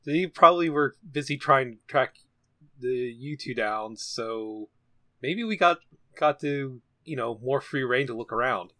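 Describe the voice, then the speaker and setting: A man's voice: medium pitch, unhurried, bright, forceful tone, no room sound. A man improvising casually as a tabletop game player, tentative and thinking aloud. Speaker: guest player.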